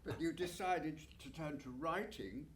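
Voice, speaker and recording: A man's voice: a little high, unhurried, background noise. A man who is an actor, moderating a panel, talking in a rambling way and searching for words as he goes. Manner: rambling, gropes for words with many fillers and restarts.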